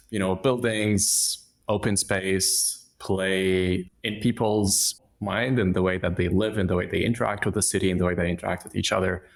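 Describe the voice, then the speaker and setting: A man from Egypt, a podcast guest giving thoughtful, measured answers in an interview. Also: low voice, gravelly.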